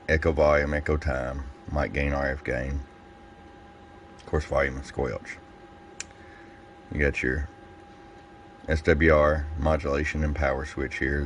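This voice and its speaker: Very deep-voiced man, casual product walkthrough. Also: slight background noise. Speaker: radio seller demonstrating a product. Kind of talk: casual hands-on product review, step by step.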